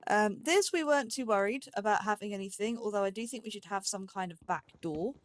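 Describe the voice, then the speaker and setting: A woman hosts a let's play with casual commentary. She thinks out loud in short, broken phrases, playfully chatting to herself at a mid-range pitch. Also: fairly quick.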